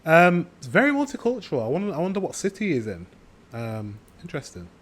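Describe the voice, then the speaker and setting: A British man talking casually to his viewers in a slightly high voice. He muses aloud between clips with hums and asides. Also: wildly swooping pitch, fast talker, crowd noise. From Britain. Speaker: reaction video host. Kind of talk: casual reaction commentary.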